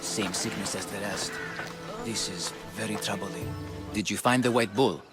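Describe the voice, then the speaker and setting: A grave priest-healer of ancient Greece, acted by a man for a video game. His voice is low and measured, with a Greek accent and solemn weight, and music plays clearly behind it. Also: close to an everyday voice. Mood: troubled. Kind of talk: video game cutscene acting.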